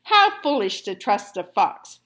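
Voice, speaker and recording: A woman's voice: mid-range voice, sing-song, measured pace, a bit bright. A woman reading a storybook as a firm, wary male mouse dentist who speaks emphatically. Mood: wary grumbling.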